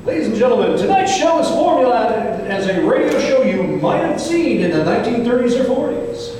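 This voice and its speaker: A man's voice: very high voice, very animated, fairly quick, mellow. A man introducing a stage show to an audience as its presenter, informally and with jokey asides. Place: museum venue in an old courthouse, before live audience. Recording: very echoey hall, distant mic, faint noise.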